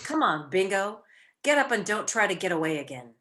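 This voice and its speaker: A woman's voice: medium pitch, sing-song, fairly quick, a bit bright. A woman playing a clever, composed young society wife turned amateur sleuth, wry and questioning, in an old-time radio mystery comedy.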